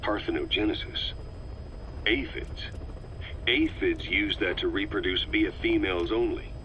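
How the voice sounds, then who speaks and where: A man's voice: deep voice, a little slow, bright, forceful tone. An actor playing a male commander who asks a scientist probing questions, on a game audio tape. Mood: questioning. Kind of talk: game audio log acting. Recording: dull noisy cassette tape.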